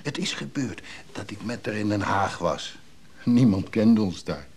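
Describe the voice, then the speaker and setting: An actor playing a gruff, sly old craftsman in a care home in a Dutch radio play, blunt and grumbling with a chuckle. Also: fairly low pitch, lively intonation, a little slow, darkish tone, exaggerated voice. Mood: confiding, amused. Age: older.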